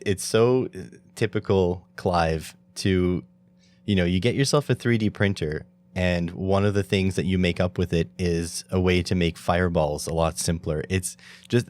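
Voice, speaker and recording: A man's voice: deep voice, darkish tone. A man from Canada hosting a podcast, chatting in a friendly, enthusiastic way with lots of fillers. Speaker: podcast host. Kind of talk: casual interview chat.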